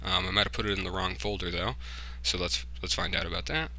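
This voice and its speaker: Man, very bright tone, gravelly creak, informal, thinking aloud, casual software walkthrough. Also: low-pitched, quick pace. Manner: informal, muttering to himself while working. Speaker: tutorial host, hobbyist game developer.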